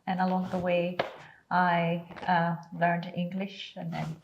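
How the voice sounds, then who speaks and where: Woman, kinda low voice, musician answering questions slow and earnest, little pauses to think, faint hiss behind. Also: completely flat delivery, creaky, lo-fi sound. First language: Greek. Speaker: guest, orchestral musician.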